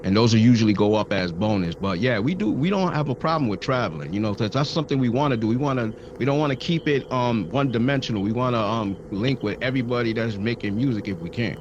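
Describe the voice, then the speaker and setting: A man's voice: mid-range voice, expressive, fast talker, hardly any pauses, lo-fi sound, background noise. A man from Brooklyn, New York, a podcast guest explaining things steadily with hesitant ums. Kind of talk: casual interview chat.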